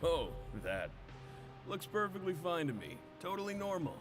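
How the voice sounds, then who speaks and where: A man's voice: sits high, sing-song, normal speed. An actor playing a busy, dismissive male worker in a video game, speaking curtly. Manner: curt, dismissive. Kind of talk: video game character acting. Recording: loud game music behind voice.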